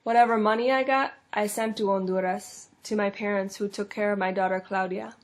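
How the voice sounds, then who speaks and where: A frightened immigrant mother in her mid-thirties, read by a woman recounts her story quietly and earnestly. Her voice sits at a plain middle pitch, steady and unforced, as in a scripted teaching case. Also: fairly quick, lightly acted. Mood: earnest, fearful. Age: 30s. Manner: quiet, earnest, fearful first-person telling. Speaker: case-study reader. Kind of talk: scripted first-person case narration.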